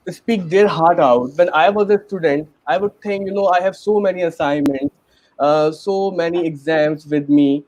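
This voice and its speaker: A man's voice: a little high, darkish tone, dry recording, rough recording. A man with a Pakistani accent hosting a panel webinar in a chatty, earnest way, with many fillers. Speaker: webinar host.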